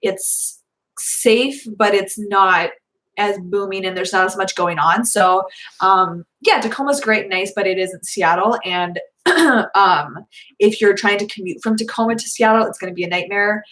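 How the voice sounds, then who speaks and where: A young woman talks her way casually through viewer questions at home, her voice a little hoarse. She rambles and restarts, filling gaps with "um" and "like." Her pitch sits in a plain middle range. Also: a little slow, fairly bright tone, poor audio. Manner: chatty, rambling with many fillers. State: slightly hoarse. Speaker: vlogger answering viewer questions. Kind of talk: casual live Q&A chat.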